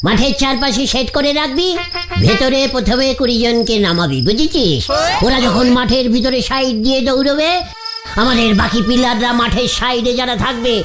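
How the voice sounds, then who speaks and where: A woman voicing a bossy, scheming, excitable comic uncle in an exaggerated cartoon. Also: on the high side, fairly quick, natural voice. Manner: bossy, blustering. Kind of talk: exaggerated cartoon comedy dialogue. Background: loud music.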